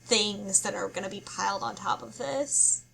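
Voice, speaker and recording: Woman, medium pitch, casual podcast chat, searching for words, slightly noisy recording. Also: normal speed, creaky, pushed and bright. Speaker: podcast panelist. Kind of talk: casual book club discussion.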